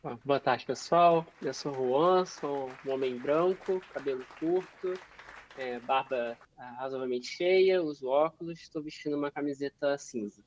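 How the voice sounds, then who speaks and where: A man, a remote guest on a tech panel, introducing himself in a plain, relaxed way. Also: mid-range voice, unhurried.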